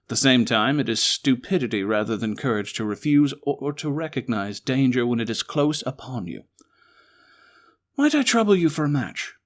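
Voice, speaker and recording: A man's voice: medium pitch, expressive, normal speed, natural voice. A man playing a brilliant, weary but resolute Victorian detective in a one-man audio drama, articulate, formal and emphatic. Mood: composed, dry.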